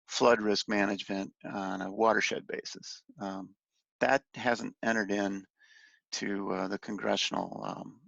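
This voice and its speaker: A man giving an informal slide briefing as a policy advocate on a conference call, speaking haltingly and letting phrases trail off. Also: on the low side, gravelly, a bit bright. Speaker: industry association policy advocate briefing on legislation. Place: conference call, roomy indoor space. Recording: muffled, roomy conference-call audio.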